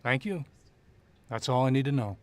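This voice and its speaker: An actor playing a cool, curt private investigator who talks and narrates in a businesslike 1940s radio noir style. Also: male voice, medium pitch, normal speed, natural voice. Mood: probing, unruffled. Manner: clipped, businesslike, unruffled.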